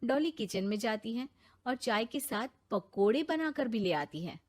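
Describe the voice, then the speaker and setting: Woman narrator, scripted cartoon storytelling, higher-pitched voice. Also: lively intonation, normal speed.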